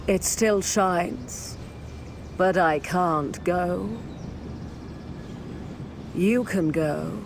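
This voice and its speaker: Slow-spoken, wistful soldier. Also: female voice, fairly low pitch, creaky, noisy background, full character voice. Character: battle-hardened female soldier, wistful yet tough.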